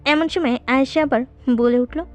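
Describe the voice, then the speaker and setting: A woman's voice: high-pitched, moderate pace. A woman narrating a scripted story as its narrator. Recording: voice over background music.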